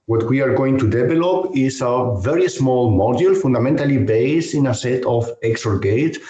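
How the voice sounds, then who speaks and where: A professor from Spain with a noticeable Spanish accent, talking through a technical webinar in a measured, hesitant way. His voice sits at an ordinary middle pitch. The audio is an echoey online call. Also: expressive, dark tone. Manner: measured, careful explanation with frequent hesitations. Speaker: university professor.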